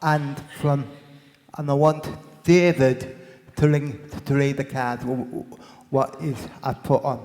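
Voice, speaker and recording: A man speaking to a crowd on stage, halting and repeating himself. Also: medium pitch, level tone, a little mellow. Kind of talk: unscripted speech to a crowd. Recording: reverberant hall with crowd noise.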